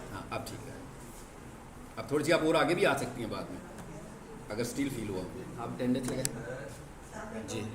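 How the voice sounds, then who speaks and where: A male teacher lecturing a class. He explains by asking questions and answering them himself, and he is firm with students about discipline. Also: mid-range voice. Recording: echoey classroom with faint background noise.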